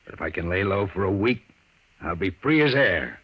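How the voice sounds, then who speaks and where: An actor in an old-time radio drama playing a surly, bossy gangster on the run, with curt, contemptuous, slangy tough talk. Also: male voice, deep voice, expressive, moderate pace. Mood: gruff, wary. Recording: dull lo-fi old radio recording.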